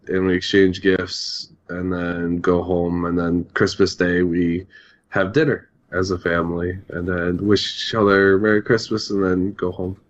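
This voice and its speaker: A man talking casually as a podcast co-host, recorded with slightly dull, lo-fi audio. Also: low-pitched. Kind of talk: casual chat.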